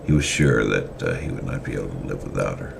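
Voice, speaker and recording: A man narrates a story in a semi-dramatic reading, often stopping on hesitant fillers as he goes. His voice sits extremely low, with a gravelly fry. A faint background noise stays under the whole recording. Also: expressive. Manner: unhurried reading with frequent hesitations.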